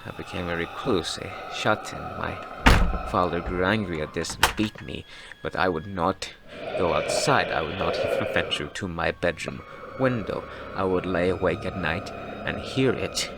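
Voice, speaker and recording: An actor playing a haunted, obsessive doctor in an audio drama, telling his diary in a brooding, halting, gravelly voice that turns breathy at times. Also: low voice. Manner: brooding, deliberate, broken into halting phrases. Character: haunted, obsessive male doctor turned zealous ruler recounting childhood nightmare. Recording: loud music bed under the voice. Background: prominent music.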